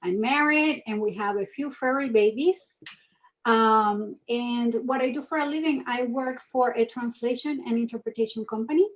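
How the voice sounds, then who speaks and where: Earnest Venezuelan-born woman, slightly high voice. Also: normal speed, rough recording. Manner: earnest, animated storytelling. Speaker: guest, mission ambassador. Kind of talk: casual interview talk.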